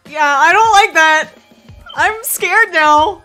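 A woman chatting and reacting excitedly with friends while playing a video game. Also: extremely high pitch, takes it slowly, faint music behind. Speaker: participant in a group video game let's play. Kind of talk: excited group gaming banter, with dramatic read-aloud of dialogue.

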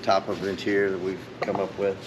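A man in his fifties with a drawl talks in an easygoing, rambling way, often chuckling. His voice bounces around a big echoey garage. A steady hum of noise sits behind him. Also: low voice, fairly quick. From Tennessee, US. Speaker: custom interior shop owner. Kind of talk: casual shop tour explanation. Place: large indoor garage workshop. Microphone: host's handheld camera.

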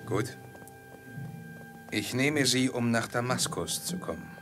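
An actor playing a proud, guarded servant in a terse, formal 1950s radio play manner. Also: male voice, medium pitch, animated, slow, music in the background. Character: proud, guarded servant turning enemy to his master.

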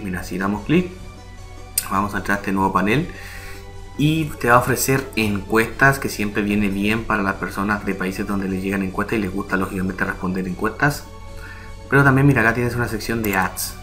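Guy doing a chatty tutorial voice-over, kinda low but punchy bright voice, checks in with the viewer a lot. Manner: chatty, explains step by step, often checks in with "ya" and "vale". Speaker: online earnings tutorial creator. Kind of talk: screen-recording tutorial voice-over.

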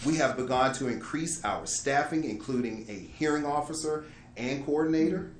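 A man's voice: fairly high pitch, normal speed. Formal male presenter in an echoey room. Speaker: school district administrator presenting a report. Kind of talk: formal presentation read from prepared text. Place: indoor meeting room, public board meeting. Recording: roomy echo, light background noise.

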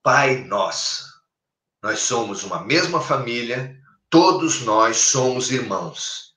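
Expressive Brazilian man preaching on a video call. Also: medium pitch, takes it slowly. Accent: Brazilian Portuguese. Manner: expressive, gestures widely, preacherly cadence. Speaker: spiritist speaker. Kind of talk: spiritual talk on a live stream. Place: home room, indoors. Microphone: webcam on a video call. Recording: echoey room.

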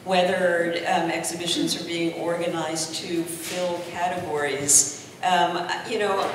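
A woman's voice: lowish voice, noisy background. A woman in her fifties speaking on a panel, thoughtful and hesitant with many fillers, holding a handheld mic in a large brick-walled gallery. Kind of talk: panel discussion. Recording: echoey hall from far off.

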